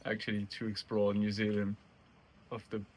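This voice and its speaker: Young Swiss man, hesitant, lowish voice. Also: completely flat delivery, normal speed. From Switzerland, French-speaking part. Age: 20s. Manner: hesitant, laughs often, slightly broken English. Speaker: interviewee hiker.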